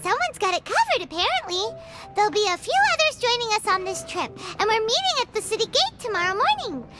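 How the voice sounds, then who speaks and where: A tiny floating fairy companion from a video game, extremely high and squeaky. Her childlike delivery swoops wildly and excitably, with loud music underneath. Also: fast. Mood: curious, chatty. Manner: squeaky, speaks of herself in third person. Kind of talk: video game character acting.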